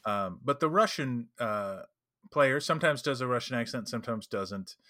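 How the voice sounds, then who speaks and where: A man's voice: mid-range voice, animated, normal speed, sharp tone. A man co-hosting a casual chat about game mastering, talking emphatically and often checking in with the listener. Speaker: podcast co-host.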